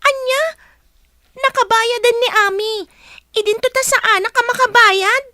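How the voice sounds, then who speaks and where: A woman's voice: extremely high pitch, normal speed, sharp tone, no echo, studio quality. A woman playing a whining, demanding schoolgirl daughter in a radio drama. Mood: demanding, upset.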